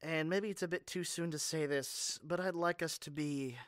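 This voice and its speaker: A man's voice: on the high side, steady tone, normal speed, a bit bright, no echo, studio quality, natural voice. A man playing a caring, modest, socially awkward superhero in a conversational audio roleplay, speaking casually and hesitantly. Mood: earnest, sympathetic.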